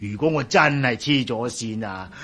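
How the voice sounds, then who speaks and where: A man's voice: medium pitch, expressive, normal speed, slightly rough. An actor playing a fussy, superstitious old male servant in a radio drama, flustered and stammering with frequent sighs, in Hong Kong Cantonese. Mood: grumbling, fretful. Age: older.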